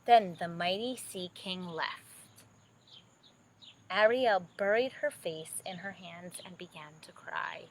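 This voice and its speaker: A woman narrating a children's bedtime story expressively, with dramatic, drawn-out emphasis. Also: on the low side, a little hiss or hum, low-quality recording.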